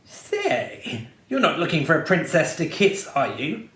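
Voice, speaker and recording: A man's voice: fairly high pitch, sing-song, a little slow, fairly bright tone, reverberant. A man reading a storybook aloud, voicing a mocking female witch who cackles as she talks.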